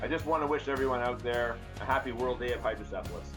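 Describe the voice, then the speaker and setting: A man's voice: medium pitch, quick pace, over music. A man from Ontario, Canada, introducing himself hesitantly, with many fillers. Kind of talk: casual self-introduction.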